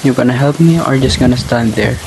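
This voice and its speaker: A woman's voice: rumbling low, brisk, noisy background, pitched below the performer's own voice. A woman voicing a lovesick, dejected male cartoon cat in an earnest, dramatic way, for a puppet-show story. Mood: defeated. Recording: music and rain behind.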